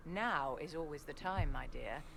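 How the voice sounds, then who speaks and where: A cold, imperious lady of the court for a fantasy game. Her voice is low and her delivery is measured and condescending, with clear noise behind it. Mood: cold, scolding.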